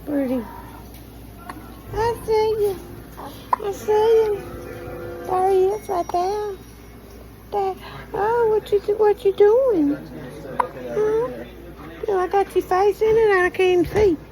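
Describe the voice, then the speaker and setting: A woman's voice: very high voice, slow, pauses often, noisy background. A woman cooing to a baby in doting, repetitive, sing-song soothing baby talk.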